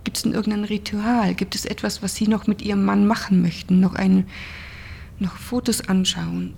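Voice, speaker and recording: A woman's voice: mid-range voice, flat delivery, moderate pace, gravelly, a little mellow, a little hiss or hum. A woman working in ward care, explaining plainly in an interview and posing rhetorical questions. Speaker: care professional on a hospital ward.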